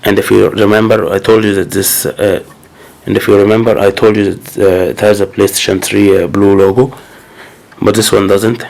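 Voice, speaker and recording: A man's voice: lowish voice, flat delivery, moderate pace, creaky, a little mellow. A man with a cold, talking casually and hesitantly as he goes through his new game collection. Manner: hesitant, many fillers and restarts. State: sick with a cold. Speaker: gaming channel host. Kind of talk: casual unboxing commentary.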